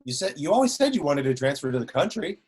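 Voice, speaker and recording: A man's voice: on the high side, big pitch swings, quick pace, a little mellow, breathy edge. A man reading a jovial, evasive police sergeant, hesitant with many restarts. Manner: hesitant, lots of ums and restarts.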